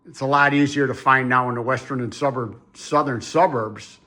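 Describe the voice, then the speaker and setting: A man's voice: mid-range voice, normal speed, bright, forceful tone. A man hosting a casual chat to camera in a plain-spoken, opinionated way. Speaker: channel host.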